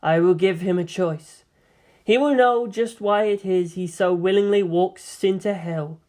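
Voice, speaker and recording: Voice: medium pitch, measured pace, a little mellow, close to an everyday voice. A narrator voicing a proud female alien seer, commanding, solemn and cryptic as a mentor.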